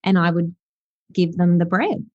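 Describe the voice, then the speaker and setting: A woman's voice: lowish voice, unhurried, no room sound. A woman in her thirties from South Africa with an Australian accent, speaking as an interview guest in a reflective way, with frequent fillers. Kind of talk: podcast interview. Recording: dull, dry sound.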